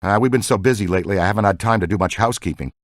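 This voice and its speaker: A man voicing a brisk, wry member of a ghost-catching team in a video game. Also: fairly low pitch, dry recording, studio quality, close to an everyday voice. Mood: low-key, wry. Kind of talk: video game character lines.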